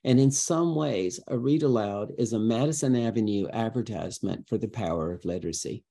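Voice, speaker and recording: A man giving conversational interview answers as a guest educator, expressive and emphatic like a storyteller, acting out his examples. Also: fairly low pitch, brisk, creaky.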